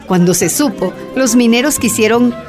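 A woman's voice: on the high side, moderate pace, loud music behind the voice. A woman reading scripted narration for a historical radio drama.

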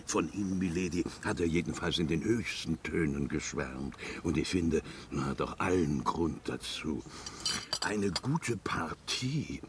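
Gushing, wildly swooping upper-class twit. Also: male voice, very deep voice, expressive, unhurried, full character voice. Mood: gushing, flustered. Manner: gushing, chatty, old-fashioned gallantry. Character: blustering upper-class English old school chum, tactless, effusive. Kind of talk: German radio drama acting. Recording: clear background noise.

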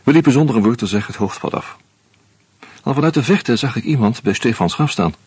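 An actor playing a wry, uneasy screenwriter who narrates a radio play in a measured, reflective way with hesitant fillers. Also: male voice, medium pitch, expressive, darkish tone, a little hiss or hum, natural voice. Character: wry, uneasy male screenwriter caught up in murders.

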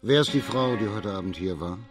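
Magistrate for radio crime drama, male actor: low-pitched, authoritative, shrewd, deliberate in questioning. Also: lively intonation, music in the background, lightly acted. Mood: measured, probing. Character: authoritative, shrewd imperial Chinese magistrate, investigating a murder.

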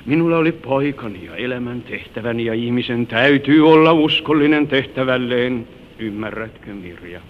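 An older man acting a gentle, wistful grandfather who tells his life story and moralizes to his granddaughter in an old-time radio drama. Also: medium pitch, expressive, lightly acted. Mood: earnest, preaching. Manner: tender, wistful, moralizing, slightly solemn. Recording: tinny, noisy old radio broadcast recording.

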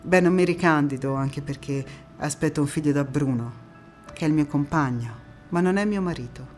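A woman acting a defiant, idealistic partisan turned politician in an emphatic, deliberate theatrical monologue. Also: low voice, darkish tone, faint music behind, close to an everyday voice. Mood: defiant, candid.

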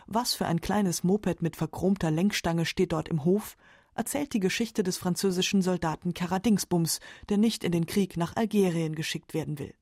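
A woman's voice: lowish voice, mellow, no room sound, studio quality. A woman with pronunciation reading scripted narration for a literary radio documentary.

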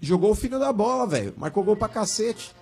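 A male radio pundit argues over players in a fast, emphatic, slangy way. His voice sits a little high and has a husky edge. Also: big pitch swings, a little mellow, soft background music. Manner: emphatic, slangy, calls people "irmão" and "velho". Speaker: radio sports commentator. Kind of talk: casual sports radio chat.

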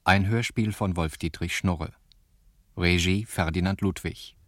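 Low-voiced male radio play announcer. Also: steady tone, measured pace, no echo, clean studio sound.

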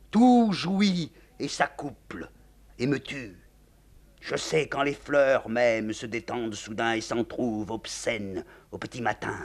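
A man's voice: on the high side, pitched above the performer's own voice. A man voicing a hysterical older woman, a general's jealous wife, who shrieks and raves frantically. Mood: frantic.

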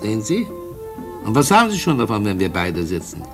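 Smug low-voiced male blackmailer. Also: huge pitch swings, fairly quick, music in the background. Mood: cajoling. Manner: smug, cajoling, mock-courteous, chuckling. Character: sly sinister male blackmailing drug dealer, mockingly polite. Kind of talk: mid-century German radio drama acting.

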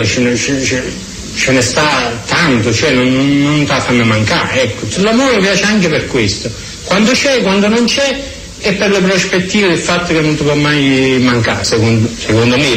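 A noisy, reverberant recording of a man talking casually. He rambles in a halting, conversational way, often repeating words as he gives his opinion. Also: medium pitch. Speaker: interviewee. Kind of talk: casual spoken interview. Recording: echoey, noisy radio-like recording.

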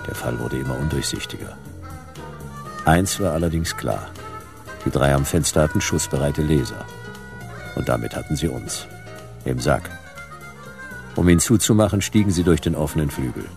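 An actor playing a hard-boiled, world-weary detective in a radio crime drama, laconic and sardonic. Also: male voice, a bass voice, expressive, moderate pace, vocal fry, pauses often, music in the background, full character voice. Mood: laconic narration.

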